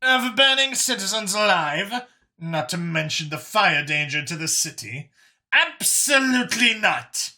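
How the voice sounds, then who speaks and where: A composed alien woman who chairs a council, acted by a male narrator, speaks with formal authority. The voice is very bright and forceful, with animated pitch that lends emphasis to measured sentences in a science fiction audiobook. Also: full character voice. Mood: stern.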